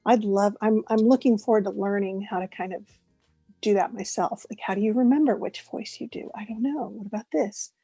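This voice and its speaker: A woman in her late forties, a voice actress chatting as an interview guest, talking warmly and laughing often. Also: mid-range voice, fairly quick, slightly rough, no echo, soft background music. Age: 40s. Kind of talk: casual interview chat.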